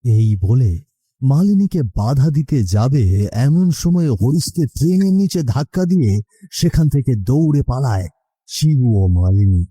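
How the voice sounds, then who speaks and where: A man's voice: mid-range voice, sing-song, moderate pace, dark tone, breathy edge, no echo. A man narrating a scripted story in a dramatic storytelling style.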